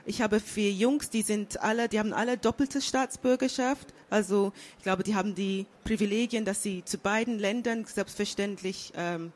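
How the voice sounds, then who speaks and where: A woman born and raised in London, speaking as a guest on a panel, thoughtful and pausing often with fillers. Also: medium pitch. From England. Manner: thoughtful, many fillers and restarts. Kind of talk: panel discussion.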